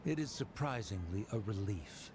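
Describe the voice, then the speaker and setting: A man playing a haughty, disdainful scholar in a video game, with a pompous, drawn-out delivery. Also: lowish voice, animated, lightly acted. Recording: clear background ambience noise.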